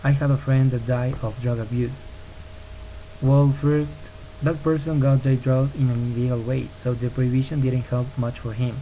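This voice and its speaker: Earnest young man from Ecuador, mellow. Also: mid-range voice, normal speed, rough recording. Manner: earnest, steady reading with occasional slips and restarts. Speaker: podcaster. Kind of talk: read-aloud argumentative podcast. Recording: faint hiss on a home recording.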